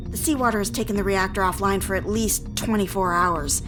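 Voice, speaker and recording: An actress playing a capable, plainspoken engineering deputy in a sci-fi audio drama, delivering technical lines matter-of-factly. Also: female voice, medium pitch, fairly even intonation, a little fast, music in the background, close to an everyday voice. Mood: sober.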